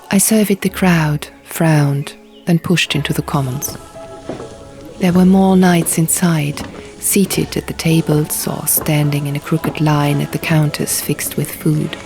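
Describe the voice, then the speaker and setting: A woman with a low voice narrates a first-person story in a literary audiobook style. There is a creak in her tone, and soft music runs beneath the reading. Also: steady tone, slow. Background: music and sound effects.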